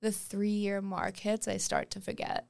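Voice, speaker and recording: A woman's voice: mid-range voice, wildly swooping pitch, a little slow, creaky, darkish tone. A young woman from Wales raised in Canada, a musician guest chatting casually on a podcast, full of fillers and trailing phrases.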